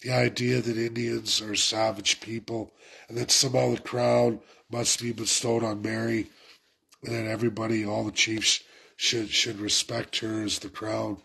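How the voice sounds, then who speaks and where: A man's voice: on the low side, little pitch movement, normal speed, creaky, fairly bright tone, slightly rough, poor audio. A man with a head cold, sounding congested, giving an urgent, repetitive political rant to his viewers. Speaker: political commentator. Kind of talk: impassioned political rant to camera.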